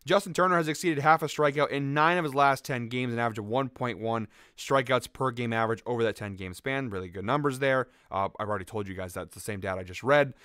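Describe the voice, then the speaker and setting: A man rattles off betting odds and stats at a brisk clip, his voice a touch raspy from a late night out. The sound is smooth and clear. It is recorded close in a dry room. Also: mid-range voice, a bit bright, no echo. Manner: quick, upbeat, rattles off stats with fillers. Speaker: sports betting picks host. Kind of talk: fast casual betting-tips monologue.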